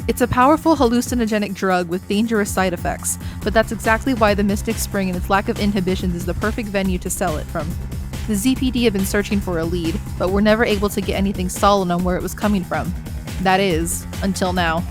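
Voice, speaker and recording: Self-assured lady rabbit prosecutor played by a woman, mid-pitched, composed with a sardonic edge, over clear music. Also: fast talker, lightly acted. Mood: matter-of-fact. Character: cool, confident female bunny prosecutor. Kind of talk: animated courtroom story acting.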